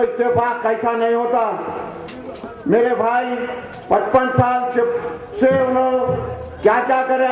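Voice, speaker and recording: Male political speaker, Hyderabadi Deccani accent, very high strained-up pitch, impassioned, repetitive, exhortative, echoing dull PA recording. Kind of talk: impassioned public rally speech. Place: public meeting, loudspeaker address. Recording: echoing PA system, dull lo-fi, crowd.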